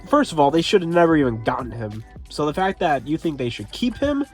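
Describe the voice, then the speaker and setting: A man's voice: a little high, sing-song, brisk, faint music behind. A young man with a congested, sniffly voice, hosting his own channel and talking casually about football, rambling with fillers and restarts. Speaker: sports commentary channel host. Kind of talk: casual solo commentary.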